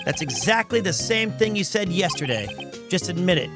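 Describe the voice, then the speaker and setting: A woman's voice: on the low side, very animated, normal speed. A woman voicing a perky, bossy teenage girl assistant in video game dialogue, teasing and eager, with game music underneath.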